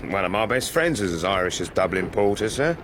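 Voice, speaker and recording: A sly, knowing manservant in a period radio play. His voice is fairly low, deferential but wry, with faint street noise behind him. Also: sing-song, fairly quick, fairly bright tone. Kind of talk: period radio drama acting.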